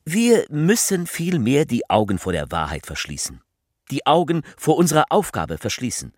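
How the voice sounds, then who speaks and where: An actor playing an evasive, self-justifying politician in a theatrical speech, speaking emphatically with deliberate pauses. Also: male voice, mid-range voice, huge pitch swings, a little mellow, no echo, studio quality. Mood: emphatic. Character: male politician orating, evasive, self-justifying, folksy storyteller.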